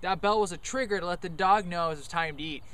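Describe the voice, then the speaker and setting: A man's voice: sits high, quick pace, sharp tone, clear, resonant tone. A young man giving a casual golf lesson on the course, loose and joking, with many fillers. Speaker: golf coach.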